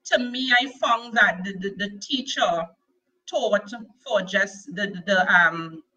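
A middle-aged woman from Tobago with a Tobagonian accent, sharing her personal testimony as an interview guest in a soft, hesitant way full of fillers and restarts. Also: medium pitch, takes it slowly, poor audio.

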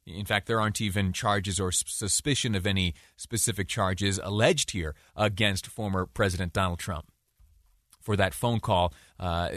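A man hosting a talk show, giving conversational news commentary in a deliberate way with rhetorical questions and emphatic repetition. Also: fairly low pitch, fairly bright tone, no echo, clean studio sound.

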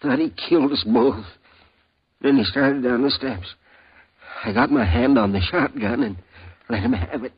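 An actor playing a shaken, wounded frontier townsman with rough country grammar in a 1950s radio drama. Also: medium pitch, moderate pace, pauses often. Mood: bitter. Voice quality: husky, ungrammatical country speech. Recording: dull old radio broadcast.

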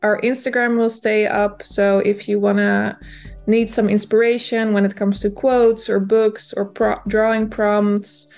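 A woman's voice: medium pitch, little pitch movement, measured pace, darkish tone, faint music behind. A woman co-hosting a podcast, talking in a thoughtful, hesitant way with fillers. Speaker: podcast co-host. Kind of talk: casual podcast chat.

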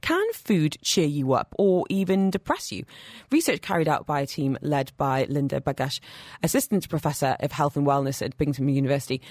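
A woman hosting a radio talk show, her voice sitting a little low. She chats in an upbeat way and laughs easily. The studio sound is completely dry and close. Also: a little mellow, dry recording. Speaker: radio host.

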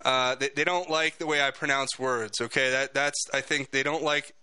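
A male talk radio host in loose, rambling banter full of jokey asides. His voice is very bright and forward, and the studio sound is dry and close. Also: mid-range voice, fairly even intonation, moderate pace, clear, resonant tone, no echo.

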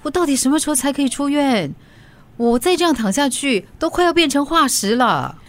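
A woman's voice: high voice, animated, brisk. An actress with a Singaporean accent playing a stubborn, cheeky young mother who is unwell, in a radio drama. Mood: grumbling, cheeky. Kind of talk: Chinese radio drama acting.

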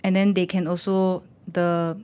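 A woman's voice: on the low side, level tone, measured pace, darkish tone, clear, resonant tone. A woman, an animator and teacher, talking loosely in an interview with many "you know"s. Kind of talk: casual interview talk.

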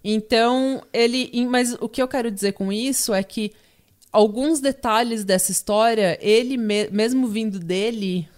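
A woman's voice: on the high side, a little slow, darkish tone. A young woman with a Brazilian accent, hosting a podcast and telling a true crime story in a casual, chatty way with frequent fillers. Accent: Brazilian Portuguese. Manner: chatty storyteller, laughs often, many fillers. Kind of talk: casual chat.

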